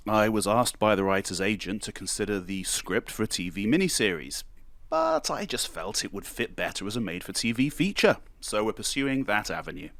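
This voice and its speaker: A man playing an affable, easily flustered producer at a casting, hedging and halting as he explains, in naturalistic audio drama. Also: fairly low pitch, fairly bright tone, no echo, exaggerated voice.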